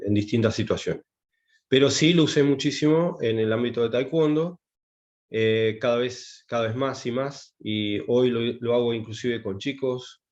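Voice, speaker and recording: A man's voice: mid-range voice, darkish tone, dull audio. Hesitant man in his fifties. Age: 50s. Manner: hesitant, many fillers and restarts. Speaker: taekwondo instructor teaching English. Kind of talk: online class presentation.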